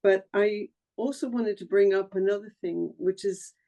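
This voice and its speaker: Earnest, halting woman from Argentina on a video call, some vocal fry. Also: medium pitch, warm tone, dull audio. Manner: earnest, halting, many fillers. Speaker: audience member on a video call. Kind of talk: casual chat.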